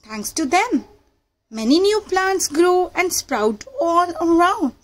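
A woman's voice: very high-pitched, very animated, slow pace. A woman narrating a children's read-aloud book in a teacherly manner, addressing young listeners directly.

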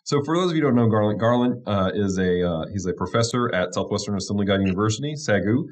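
Upbeat, low-voiced man hosting a show. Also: lively intonation, fast talker. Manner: upbeat, laughs often. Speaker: pastor and show host. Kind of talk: casual chat. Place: small room with wood-paneled wall. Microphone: studio mic on boom arm, close.

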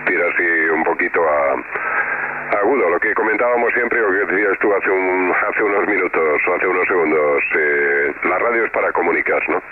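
A man's voice: low voice, moderate pace. A man with an accent from Spain, chatting casually as an amateur radio operator over the air. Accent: Spanish from Spain. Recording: dull radio audio with clear static.

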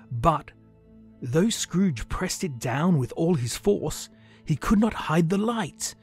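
A man narrating a scripted story in a measured, dramatic way. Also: fairly high pitch, a little mellow, slightly rough.

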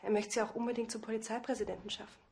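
Subdued, fragile young wife. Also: female voice, on the high side, steady tone, very fast, creaky, darkish tone. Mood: subdued, wistful. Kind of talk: TV drama acting.

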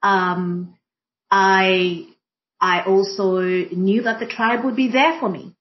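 A woman from Kenya, a diversity and inclusion practitioner speaking thoughtfully on a panel, pausing often with fillers. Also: mid-range voice, steady tone. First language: Swahili. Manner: thoughtful, many fillers and restarts. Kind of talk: reflective panel conversation. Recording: dull lo-fi audio.

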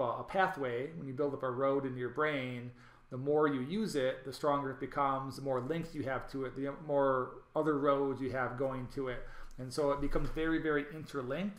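A man's voice: mid-range voice, fairly bright tone, echoey room. A man presenting an online training, explaining things over slides with frequent ums and restarts. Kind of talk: recorded lecture over slides.

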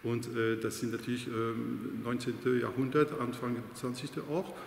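A man's voice: medium pitch, little pitch movement, a little slow, vocal fry, a little hiss or hum. A middle-aged man from France speaking with a French accent, a choir director giving hesitant interview answers with many fillers. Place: large church with organ, indoors. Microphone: unseen mic, framed chest-up. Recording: echoey church with long reverb.

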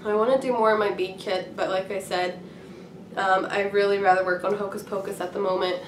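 A young woman with a cold-roughened, hoarse voice rambles along in a casual chat, filling pauses with ums. She sits some way back from the camera in a small room at home, so her voice sounds distant and roomy. A faint hiss runs under her words. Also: mid-range voice, steady tone. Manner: rambling and chatty, many ums. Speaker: craft vlogger.

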